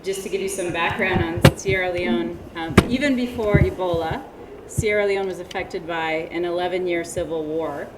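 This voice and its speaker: A middle-aged woman delivers an academic keynote in a low, measured voice with plenty of ums. She projects loudly, but she sits far back from the microphone. The big hall rings with long echo and a steady layer of noise. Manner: loud, measured presenter with frequent ums. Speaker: keynote speaker, researcher. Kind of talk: academic conference lecture. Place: large conference hall. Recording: echoey hall from far off, noisy.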